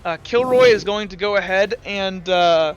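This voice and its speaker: A man's voice: sits high, normal speed, soft background music, rough recording. A man running a tabletop game as game master, talking through the rules and dice aloud and often correcting himself. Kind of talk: narrating and refereeing a tabletop game.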